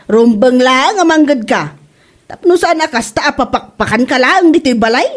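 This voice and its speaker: A woman with a high voice plays a nagging mother, her pitch jumping wildly as she complains. Faint music plays under her in this comic audio drama. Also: expressive, a little slow. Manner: exasperated and nagging, rises sharply in pitch. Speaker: scolding mother. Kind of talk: comedic audio drama acting. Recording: music bed behind the voice.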